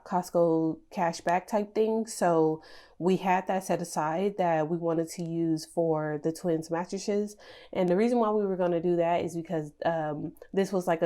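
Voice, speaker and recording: A woman's voice: on the low side, dark tone. A young woman with a nasal, congested voice from a cold, chatting casually with many fillers as she goes over her budget planner. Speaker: budgeting channel host.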